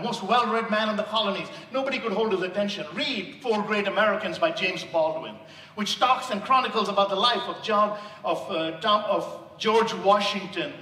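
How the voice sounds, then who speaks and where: An impassioned man with an Indian accent delivers a sermon-style speech, his voice bright and a little high. He swells into loud emphatic peaks. The sound is distant and echoey, as if picked up from across a large hall. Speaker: motivational speaker.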